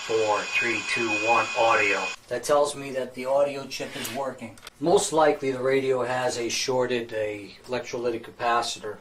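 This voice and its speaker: A man's voice: mid-range voice, a little hiss or hum, rough recording. A man giving an unscripted, matter-of-fact repair tutorial, explaining each step methodically as a technician. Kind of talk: unscripted hands-on repair demonstration.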